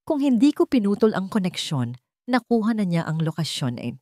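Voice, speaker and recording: A woman's voice: medium pitch, big pitch swings, moderate pace, mellow, no room sound, voice pushed up, natural voice. A woman voicing a guarded genius male hacker in an audio story, speaking in a terse, grave way. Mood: cold, tense.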